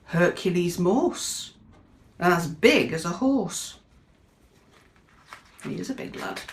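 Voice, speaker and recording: A British woman teacher reading a picture book aloud to young children, expressive and chatty, often asking her listeners questions. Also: fairly low pitch, takes it slowly, slight background noise. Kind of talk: animated children's storytime read-aloud with chatty asides. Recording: echoey, reverberant room.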